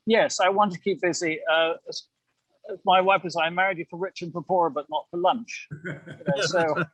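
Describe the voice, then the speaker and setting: An older British man speaks in a slightly high register, reflecting in conversation as an interview guest. He is self-deprecating and hedges as he goes, and the sound is close and dry. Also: steady tone, normal speed, fairly bright tone, no echo, rough recording. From England. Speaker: amateur actor, interview guest.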